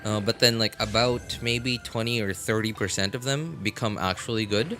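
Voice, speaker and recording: A man with a fairly low voice streams a game and comments casually as he plays. He thinks aloud in a halting way, often repeating words and starting phrases again. Game music plays faintly behind him. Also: pushed and bright.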